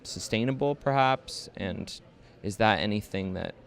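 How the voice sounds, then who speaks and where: A man with a somewhat low voice asks interview questions for a campus radio station. He searches for his words, with fillers and restarts as he builds long questions. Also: a little slow, gravelly.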